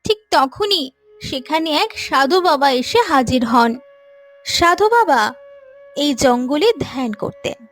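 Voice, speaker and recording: A woman's voice: high-pitched, animated, slow. A woman narrating a scripted story as the storyteller. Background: soft music.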